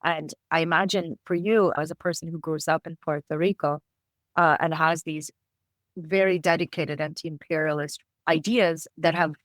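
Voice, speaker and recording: A woman from Israel interviewing a podcast host, speaking in a thoughtful, measured way. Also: deep voice, no room sound. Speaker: guest interviewer. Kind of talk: conversational interview.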